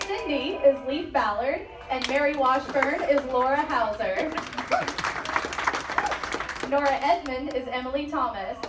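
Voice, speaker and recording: A woman's voice: high voice, measured pace, pauses often, very noisy. A woman announcer reading out credits to a live audience. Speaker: show announcer. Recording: echoey live stage, loud crowd, distant mic. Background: loud crowd.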